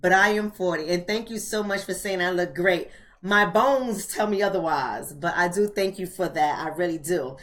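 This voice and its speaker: Woman, forty, American accent, livestream host, colloquial, emphatic, repetitive phrasing, medium pitch. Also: a bit bright. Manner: colloquial, addresses viewers directly. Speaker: host of a live online book club. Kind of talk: casual livestream chat.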